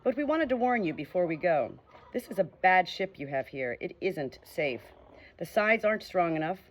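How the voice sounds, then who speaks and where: A timid male ship rat in a storybook tale, earnest and a little nervous, clearing his throat as he warns of danger, voiced by a woman reading aloud. His voice sits low and soft. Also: sing-song, moderate pace. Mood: earnest warning.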